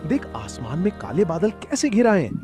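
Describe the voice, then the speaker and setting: Man playing a lively village father, expressive delivery. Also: sits high, moderate pace. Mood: hearty, proud. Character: hardworking village father selling spicy noodles, hearty, proud. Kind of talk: cartoon story dialogue. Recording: music under the voice.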